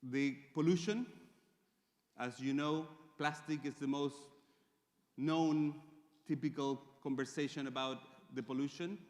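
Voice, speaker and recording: A man's voice: on the high side, fairly even intonation, a little mellow. Chilean man speaking in a reverberant hall. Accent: Chilean Spanish. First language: Spanish. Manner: measured, with frequent pauses and fillers. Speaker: conference speaker. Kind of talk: conference presentation. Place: large conference hall.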